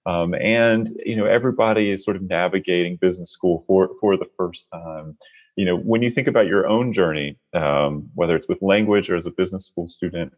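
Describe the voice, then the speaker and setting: A man hosting an interview, speaking in a measured way with many fillers as he reflects and asks questions. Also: sits low. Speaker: interviewer host. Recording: dull lo-fi sound.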